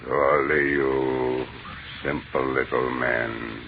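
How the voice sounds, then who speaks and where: An actor playing an ancient, contemptuous, hungry alien mind with a menacing, imperious delivery in a 1930s radio horror drama. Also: male voice, rumbling low, level tone, takes it slowly, exaggerated voice. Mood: imperious contempt. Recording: tinny, noisy 1930s radio recording.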